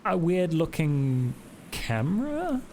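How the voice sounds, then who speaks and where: A man improvising narration as game master of a tabletop roleplaying game, in a halting, descriptive way. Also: fairly high pitch, very animated, a little mellow, a little hiss or hum.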